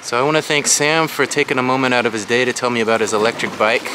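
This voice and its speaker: A man's voice: mid-range voice, fast. A man in his forties narrating a casual walking vlog outdoors. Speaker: vlogger. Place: outdoors along a waterfront. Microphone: handheld camera microphone. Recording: windy outdoor camera recording with loud noise. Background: loud wind and outdoor ambience.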